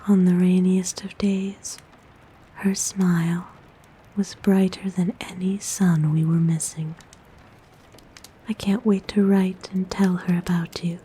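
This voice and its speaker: A tender forest witch acted by a woman speaks in a soft, breathy whisper, low and dark in tone. She is kind and soothing, as in an ASMR roleplay, with faint ambient noise behind her. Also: poor audio. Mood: warm, affectionate. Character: kind, gentle forest witch sheltering a lost traveler.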